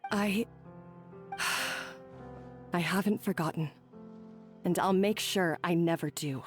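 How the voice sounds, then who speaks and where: Slow, self-doubting young chef. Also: female voice, fairly low pitch, faint music behind. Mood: resolved. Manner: hesitant, earnest. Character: insecure, earnest young female head chef, self-doubting. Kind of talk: anime game voice acting.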